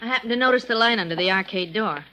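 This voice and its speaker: An actress playing a weary, matter-of-fact arcade worker in a 1950s radio drama. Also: female voice, medium pitch, very fast, pushed and bright, lightly acted, low-quality recording. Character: arcade woman, plainspoken and weary.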